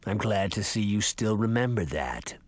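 An ancient villain, performed by a male audiobook narrator, speaking low with a dry crackle. He is coldly calm and menacing, his creaky voice sounding parched with extreme age. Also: moderate pace, no echo.

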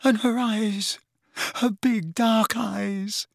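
A man voicing a lovesick teenage boy in an audiobook, breathy and strangled, moony and overwrought. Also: high-pitched, steady tone, drawn-out words, no echo, clean studio sound, voice pushed up, full character voice. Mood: lovestruck, dreamy. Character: lovesick teenage boy under a love potion, dreamy then furious. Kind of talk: audiobook character voice.

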